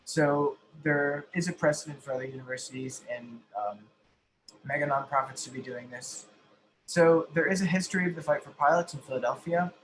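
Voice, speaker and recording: A man giving an explanatory advocacy presentation as an activist, halting and often correcting himself mid-sentence. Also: fairly high pitch, poor audio. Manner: halting, frequently self-correcting. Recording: faint background noise.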